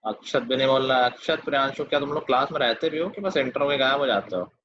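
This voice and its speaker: A man's voice: mid-range voice, fast, fairly bright tone. A male teacher running an online math class and teasing his students. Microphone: video call. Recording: lo-fi video call.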